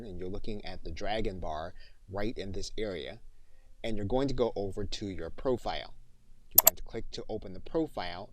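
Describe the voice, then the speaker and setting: A man narrating an instructional screencast as a trainer, explaining things step by step. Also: lowish voice.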